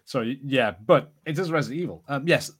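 A man co-hosts a casual pop-culture podcast. His voice sits at an average pitch for a man. He talks in a wry, joking way, as if among friends. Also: fairly quick, slightly rough. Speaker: podcast co-host.

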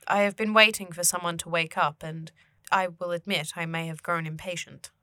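A woman's voice: fairly low pitch, normal speed, no room sound, clean studio sound. A woman playing a solemn, patient otherworldly guide who speaks stiffly and formally in a fantasy audio drama. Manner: stiffly formal, avoids contractions, deadpan.

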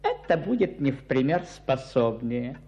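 An actor playing a sly, servile provincial merchant in a radio play, with an obsequious folksy manner. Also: male voice, mid-range voice, very animated, unhurried, dark tone. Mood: wheedling. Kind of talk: Russian radio play acting.

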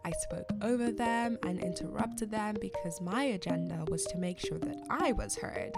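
A young woman from Zimbabwe hosting a podcast, giving a candid, measured scripted reflection. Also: mid-range voice, over loud music. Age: young adult. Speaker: podcast host.

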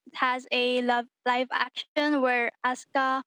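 A young woman joins a podcast chat as a guest. Her voice is very high and slow, with brief, hesitant answers, recorded dry and close. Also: level tone, sharp tone, clean tone, dry recording. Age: young adult. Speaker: podcast guest, art director. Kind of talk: casual chat.

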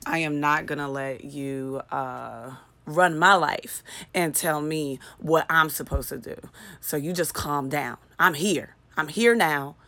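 A woman with a low, raspy voice talks straight to her listeners in a loose solo podcast. She is chatty and candid, slides into profane rants and pokes fun at herself. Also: slow pace, sharp tone, no echo. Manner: chatty, candid, ranting with swearing and self-mocking humor. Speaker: podcast host. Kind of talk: casual solo podcast monologue.

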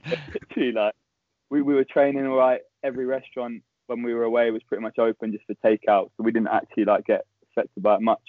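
A young man with a Southern English accent, a podcast guest chatting quickly with dry humour. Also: mid-range voice, little pitch movement, no echo. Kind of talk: casual interview chat. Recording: tinny phone-like call line, dry.

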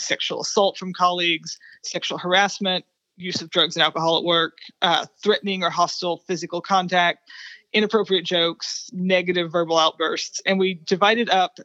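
A woman speaking as a researcher guest in an interview, explaining findings in a measured way. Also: lowish voice, fairly bright tone, no echo, highs cut off.

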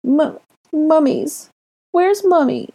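Woman putting on a whining, high-pitched frightened little boy. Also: animated, slow, creaky, warm tone, heavily acted. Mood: pitiful whining. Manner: whining, hiccuping, stammering. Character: frightened sobbing toddler boy, pitiful and pleading.

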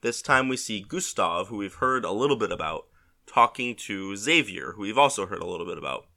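A man's voice: on the low side, animated, brisk, gravelly, sharp tone. Congested, rambling man hosting a podcast. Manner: rambling, self-correcting, laid-back. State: congested with a head cold. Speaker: podcast host. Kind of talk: casual solo podcast talk.

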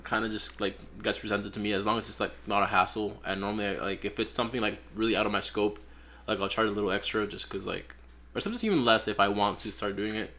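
Chill twenties guy from peru, lowish voice, says like a lot, faint room hum. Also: fast talker. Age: 20s. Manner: relaxed, rambling, many "like" fillers. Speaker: photographer guest. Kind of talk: casual podcast interview.

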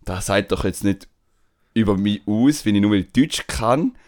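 A young man from Switzerland with a Swiss German accent, telling a story in a relaxed, slangy podcast chat full of fillers. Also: fairly low pitch, moderate pace. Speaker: podcast host. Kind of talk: casual chat.

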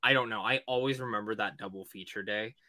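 A man co-hosting a podcast, chatting casually about movies in an animated, slangy way with plenty of swearing. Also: lowish voice, fast talker, dry recording. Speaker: podcast co-host.

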